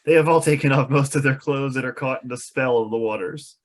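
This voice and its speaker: A man's voice: medium pitch, a little fast. A man reading out the stage directions of a fantasy script as narrator at a table read.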